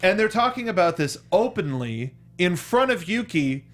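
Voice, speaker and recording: A man's voice: high-pitched, big pitch swings, moderate pace. A man co-hosting a casual film review chat, acting out reactions in quoted asides. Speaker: co-host of a film review show.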